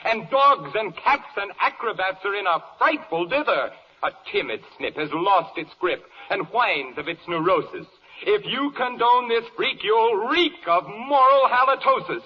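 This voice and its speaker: An actor playing an indignant, haughty prosecutor declaiming in rhyme in a 1940s radio verse comedy. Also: male voice, sits high, animated, measured pace. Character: indignant, scornful prosecutor in a cosmic court. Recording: muffled, noisy old radio broadcast.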